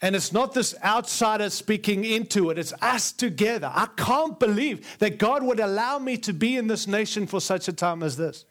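A man with an Australian accent preaches to a congregation in a church, his voice sitting noticeably high. He is earnest and emphatic, piling up repeated phrases as he drives each point home. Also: expressive, normal speed, hardly any pauses, a bit bright. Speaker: guest preacher. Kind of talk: sermon. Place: large church before a live congregation.

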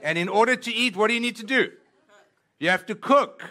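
A middle-aged man from South Africa, a pastor whose first language is Italian, preaching a sermon in a church with humor and slowing down to read scripture. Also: high voice. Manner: lively and humorous, slows down for scripture. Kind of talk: sermon with prayer and scripture reading. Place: church auditorium with congregation.

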